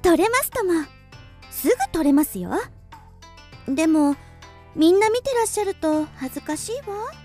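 A plucky young housemaid from the country, high and girlish. She is polite yet bold, and her radio drama delivery is highly animated, over faint music. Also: normal speed, pauses often. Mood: eager, bashful.

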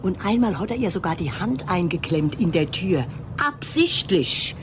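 Gossipy housekeeper for radio crime drama: low, very animated, confiding, indignant, slipping into whispers. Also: female voice, moderate pace. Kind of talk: German crime radio play acting. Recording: noisy radio play recording.

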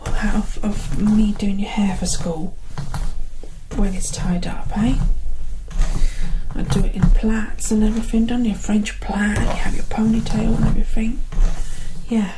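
A British woman doing an ASMR roleplay as a soothing, affectionate mother, reassuring in soft close-up speech. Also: mid-range voice, little pitch movement, unhurried, pauses often, background noise. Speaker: ASMR performer playing a mother. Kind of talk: ASMR roleplay, whispered and soft-spoken personal attention.

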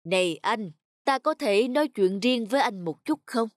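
An actor voicing a solemn old male Taoist sage in an animated folk tale, speaking gravely and with measured words. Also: mid-range voice, natural voice.

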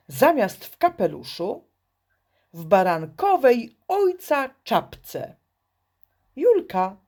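Woman reading a kids poem, really slow and theatrical, highish voice. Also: mellow. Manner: theatrical, deliberate phrasing with pauses. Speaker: reader. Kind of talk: expressive children's poem recitation.

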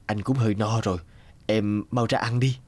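A man voicing a wealthy company director in a cartoon, smooth-talking, patronising and dismissive. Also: on the low side, fairly even intonation, moderate pace, darkish tone, no room sound, lightly acted. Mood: evasive. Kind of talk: Vietnamese cartoon dubbing. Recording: guitar music under the voice.

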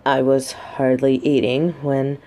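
A woman's voice: extremely low pitch, little pitch movement, slow pace, warm tone. A woman playing a guarded, sarcastic but secretly vulnerable lieutenant and bodyguard in an intimate audio roleplay. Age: adult. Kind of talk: scripted roleplay audio drama, addressed to listener.

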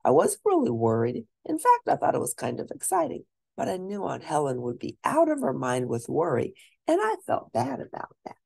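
A woman with a low, husky voice reads a children's story aloud. Her pitch swings very widely as she narrates, and she lingers on key words with deliberate expression. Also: huge pitch swings, a little mellow, no echo. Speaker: storyteller reading a children's book.

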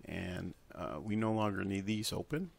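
A man with a fairly low voice and plenty of vocal fry presents a software tutorial. He talks informally and instructs step by step, in the casual way of someone recording a screen walkthrough at his computer. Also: moderate pace.

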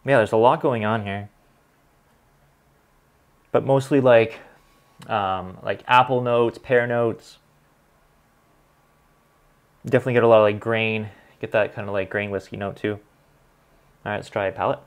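A man in his thirties with a Canadian accent talks in a relaxed, chatty way, filling gaps with ums and likes. His voice sits a little low. He speaks from a desk in a small home studio. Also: unhurried, pauses often. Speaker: whiskey reviewer and channel host. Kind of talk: casual vlog, reading comments and tasting.

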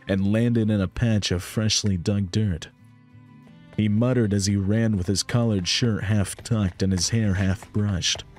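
A man's voice: fairly low pitch, darkish tone. A young man narrating a visual novel as a storyteller. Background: music.

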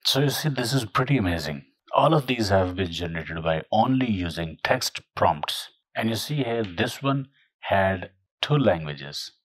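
Low, husky male narrator. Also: expressive, unhurried, dry recording. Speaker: tutorial narrator. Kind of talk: scripted voice-over narration.

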